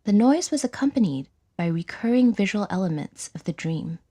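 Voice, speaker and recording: A woman narrating a scripted audio drama in a measured, dramatic storytelling manner with a breathy voice. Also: medium pitch, animated, warm tone.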